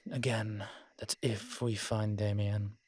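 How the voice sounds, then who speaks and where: A man playing a world-weary, morose male vampire in a roleplay audio drama, speaking in a measured, formal, brooding manner with sighs and pauses. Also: lowish voice, flat delivery, slightly rough, dry recording. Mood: darkly wry. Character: weary old male vampire, morose realist, formerly cruel.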